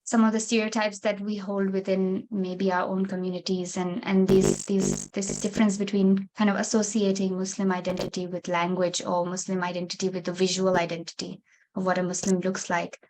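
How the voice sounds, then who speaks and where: A woman's voice: mid-range voice, level tone, a little fast, darkish tone, poor audio. A woman moderating a panel discussion, responding in an affirming, reflective way.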